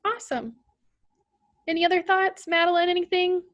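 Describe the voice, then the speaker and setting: A woman's voice: very high-pitched, level tone, moderate pace, a bit bright. A woman drama therapist, leading a group discussion and inviting each person to share. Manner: encouraging, asks follow-up questions.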